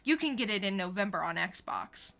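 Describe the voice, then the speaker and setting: A woman's voice: mid-range voice, expressive, moderate pace, vocal fry, bright, forceful tone. A woman co-hosting a podcast, reading scripted pop-culture news and adding dry, sarcastic punchlines. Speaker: podcast co-host.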